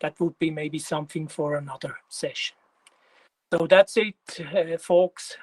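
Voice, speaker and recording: A man in his fifties from Switzerland with a Swiss German accent, giving a technical presentation in an unhurried way, with many pauses and fillers. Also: fairly high pitch, fairly even intonation, darkish tone, dry recording. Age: 50s.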